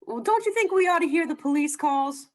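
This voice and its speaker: A woman's voice: sits high, lively intonation, brisk. A woman playing a skeptical, fed-up wife in an old-time radio drama, sardonic and questioning.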